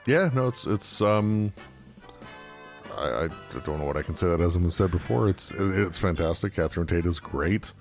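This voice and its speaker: A man's voice: sits low, normal speed, faint music behind. A man on a podcast panel, chatting casually in a hesitant, understated way. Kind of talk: casual chat.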